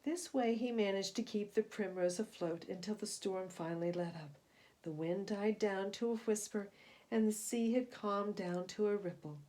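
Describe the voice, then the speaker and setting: A woman reading a picture book aloud to young children in expressive storytelling narration. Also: mid-range voice, normal speed.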